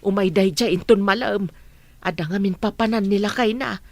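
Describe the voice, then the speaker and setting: A woman's voice: medium pitch, moderate pace, dry recording, close to an everyday voice. A woman playing a worried, tender mother in a radio drama, with an Ilocano accent. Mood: relieved, concerned.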